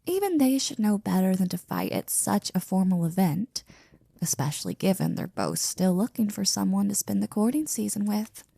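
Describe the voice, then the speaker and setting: A woman playing a flirty, devoted maid in an intimate ASMR roleplay, breathy and often near a whisper, with a teasing, affectionate manner. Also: medium pitch, very animated, dark tone, dry recording, full character voice. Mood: curious, gossipy. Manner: teasing, affectionate, slow and drawn out.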